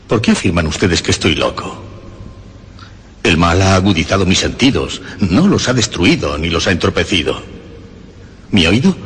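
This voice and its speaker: A deep, reverberant voice fills this radio horror monologue. a nervous, obsessive killer, emphatic and halting, his delivery swinging widely as he insists on his sanity. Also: fairly bright tone, full character voice. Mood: agitated, defiant. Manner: agitated, emphatic, halting repetitions. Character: nervous, obsessive male murderer insisting he is sane. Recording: long reverb, faint background noise.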